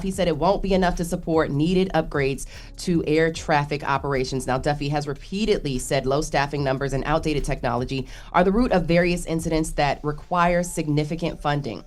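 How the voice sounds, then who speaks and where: Low-voiced American woman reporting news, haltingly. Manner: halting, stumbling over words and restarting. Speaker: news reporter on a radio morning show. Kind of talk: news report with conversational asides.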